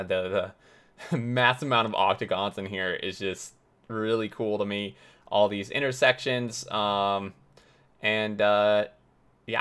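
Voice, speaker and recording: A man hosting a casual game tour, talking with warm enthusiasm and plenty of fillers, his voice getting hoarse. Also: on the low side. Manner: enthusiastic, rambling with many fillers. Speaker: gaming video host.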